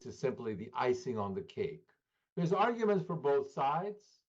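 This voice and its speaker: Male interview guest, unhurried pace, hesitant phrasing, medium pitch, analytical talk. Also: big pitch swings, breathy edge, dull audio. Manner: hesitant, pausing mid-sentence. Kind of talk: spoken political analysis.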